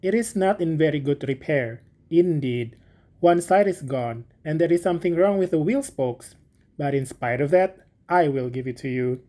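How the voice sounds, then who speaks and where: A self-satisfied miller from a storybook, voiced by a woman reader, pompous and preachy. The voice sits low and mellow, barely shifted from her own. He lectures with smug calm. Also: moderate pace. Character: smug, selfish male miller preaching friendship. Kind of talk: storybook reading.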